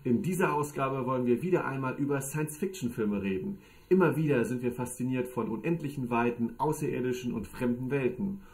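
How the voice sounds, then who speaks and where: A man's voice: medium pitch, fairly quick, darkish tone, natural voice. A man playing a decisive podcast host who leads his friends on a rescue in an amateur audio drama. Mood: presenting. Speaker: podcast host and team leader.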